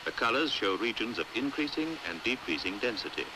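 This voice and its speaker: A British man narrating a 1950s educational film in a measured, precise, didactic way, on an old hissy soundtrack. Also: mid-range voice, very animated. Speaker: documentary narrator. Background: faint hiss.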